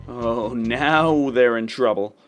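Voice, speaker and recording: A man's voice: mid-range voice, takes it slowly, faint music behind. A man giving casual step-by-step commentary on a video game playthrough. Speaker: gaming video host.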